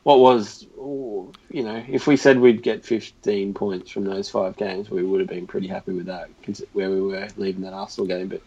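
A man's voice: lowish voice, fairly even intonation, normal speed, hardly any pauses, darkish tone, husky. Casual man, slightly dull audio. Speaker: football podcast panelist. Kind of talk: casual chat.

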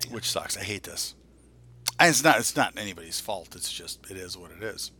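Guy in his 40s, bright punchy voice, podcast host, blunt and sweary, just chatting. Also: sits low, lively intonation, normal speed, breathy edge. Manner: profane, blunt. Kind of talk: casual geek-culture chat.

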